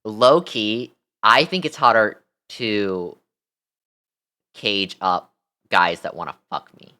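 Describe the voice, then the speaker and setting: A man's voice: fairly low pitch, lively intonation, takes it slowly, bright, forceful tone. A man livestreaming a game, chatting casually with viewers in a crude, joking, slangy way and often repeating himself. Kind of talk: casual chat with stream viewers.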